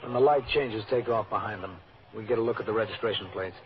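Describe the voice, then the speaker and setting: An actor playing a composed police captain in a vintage radio drama, matter-of-fact and authoritative in a clipped procedural way. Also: male voice, fairly low pitch, fast talker, close to an everyday voice. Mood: calm, watchful. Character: composed middle-aged male police captain narrating his shift. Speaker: radio drama lead and narrator. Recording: muffled, noisy old radio recording.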